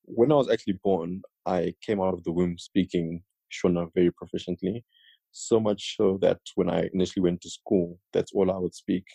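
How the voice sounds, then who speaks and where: Young male podcast co-host from South Africa, husky and dry. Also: low voice, moderate pace, no room sound, low-quality recording. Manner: relaxed, chatty, jokes with his co-host. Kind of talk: casual conversational podcast.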